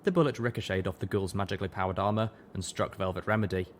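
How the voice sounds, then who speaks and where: A man's voice: low voice, fairly even intonation, fast talker, slight background noise. A man reading scripted first-person story narration as an audiobook narrator. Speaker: audiobook reader, show narrator.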